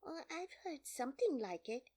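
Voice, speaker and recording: Woman playing a storybook little girl, high voice, lilting, polite, curious, earnest, easily offended. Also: sing-song, normal speed, warm tone. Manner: earnest, questioning, precise.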